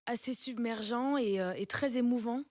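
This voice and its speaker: A woman's voice: a little high, moderate pace, a little mellow, dry recording, studio quality. A young woman guest on a radio show, talking casually with many fillers and frequent laughter. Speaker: guest singer and TV talent-show coach. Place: radio studio.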